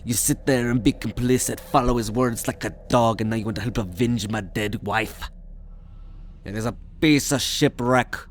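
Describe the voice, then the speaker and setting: A man acts out a shady alien collector in an audio roleplay, gruff and indignant, with a middling pitch. He haggles and grumbles with an old bitterness. Ambient noise sits clearly behind the voice. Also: fairly even intonation, normal speed, lightly acted. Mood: indignant, bitter. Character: shady, bitter green male alien collector, grieving widower. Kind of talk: ASMR roleplay audio drama.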